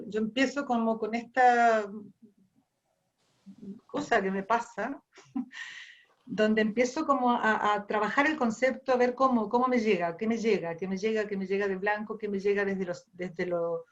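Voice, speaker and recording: A woman's voice: mid-range voice, highs cut off. A woman from Argentina with an Argentine accent, a ceramic artist thinking aloud as she tells the stories behind her work, often repeating and restarting phrases. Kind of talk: reflective personal storytelling.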